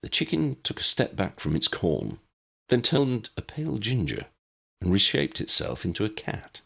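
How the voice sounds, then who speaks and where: A man's voice: on the low side, huge pitch swings, normal speed, gravelly, pushed and bright, slightly rough. A man reading a scripted story aloud as an audiobook narrator. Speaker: audiobook narrator reading own fan fiction.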